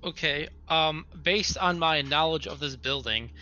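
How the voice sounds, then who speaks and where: A man's voice: on the high side, a little slow, sharp tone. A man playing a tabletop roleplaying game, asking questions casually, recorded with dull, lo-fi sound.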